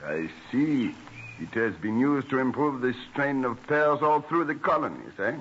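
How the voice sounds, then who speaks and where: An actor playing a refined, polite, quizzical French diplomat, with formal, hesitant phrasing, in a radio drama. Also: male voice, mid-range voice, very animated, moderate pace, a bit bright. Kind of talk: old-time radio drama acting. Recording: muffled old radio recording with hiss.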